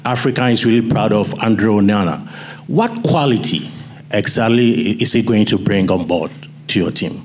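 A man's voice: on the low side, animated, normal speed. A male journalist from Ghana with a Ghanaian accent, asking questions at a press conference.

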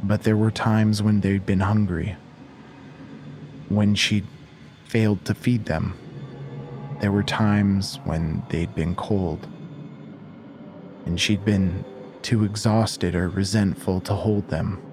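A man narrating a scripted horror story as its storyteller, in a measured, deliberate way. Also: fairly low pitch, steady tone, music in the background.

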